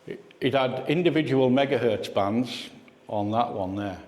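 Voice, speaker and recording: A man's voice: medium pitch, a little slow, darkish tone. A man giving an informal club talk as guest speaker, conversational and reminiscing, putting questions to his listeners. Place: indoor club meeting room. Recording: echoey hall, club meeting room.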